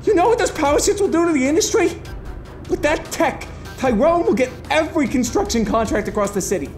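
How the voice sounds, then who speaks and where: A woman's voice: high-pitched, lively intonation, a little fast, darkish tone, faint music behind. A woman playing a haughty, anxious corporate boss in an audio drama, indignant and agitated. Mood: agitated outrage. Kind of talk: audio drama villain acting.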